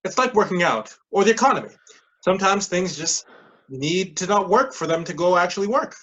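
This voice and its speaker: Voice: mid-range voice, lively intonation, fairly quick, fairly bright tone, highs cut off. An actor playing a stubborn, patronizing leader of a money game in a staged play reading. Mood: earnest. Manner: patronizing, defensive. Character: condescending, stubborn game leader refusing refunds.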